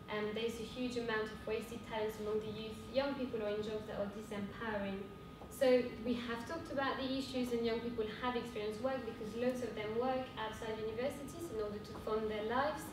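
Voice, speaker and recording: A young woman with a French accent giving an earnest conference talk, pausing often with fillers. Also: fairly even intonation. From France. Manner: earnest, hesitant with frequent fillers. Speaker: cooperative speaker. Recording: echoey hall from far off with rumble.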